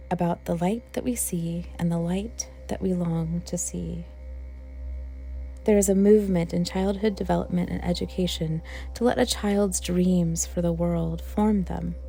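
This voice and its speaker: A woman leads a guided meditation in a fairly low, mellow voice with a noticeable creak. Her delivery is gentle and reflective, with space left between phrases, as a worship leader would give it. Soft music plays faintly behind her.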